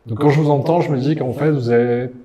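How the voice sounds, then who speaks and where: A man's voice: medium pitch, steady tone, a little fast, muffled recording, over a noisy crowd. A middle-aged man moderating a design panel, reflecting on points with wry asides before asking questions. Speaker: meetup host, moderator.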